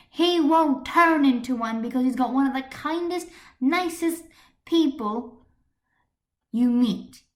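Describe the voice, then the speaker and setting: Woman acting a feisty high-pitched teenage heroine. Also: reverberant. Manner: indignant, emphatic. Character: teenage schoolgirl, secret superheroine, fiercely loyal, feisty.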